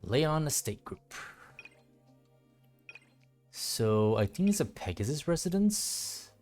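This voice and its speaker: A man's voice: fairly high pitch, big pitch swings, takes it slowly, darkish tone, soft background music. A man streaming a game with casual solo commentary, often muttering to himself and casually swearing.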